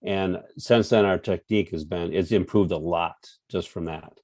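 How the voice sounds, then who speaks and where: Casting: low-voiced male coach, relaxed and hesitant, dry close recording. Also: normal speed, no echo. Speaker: strength coach. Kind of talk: roundtable discussion.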